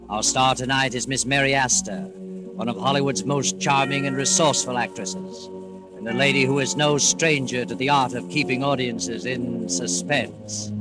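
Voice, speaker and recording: Old time radio host guy, ominous theatrical narration, slow n measured, music playing behind him. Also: medium pitch. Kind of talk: 1940s radio scripted narration. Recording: old 1940s radio recording. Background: dramatic music.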